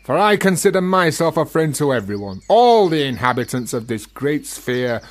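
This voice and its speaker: An actor playing a sly, philosophising gravedigger in a radio play, florid, teasing and mock-humble. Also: male voice, a little high, sing-song, normal speed. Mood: earnest pleading.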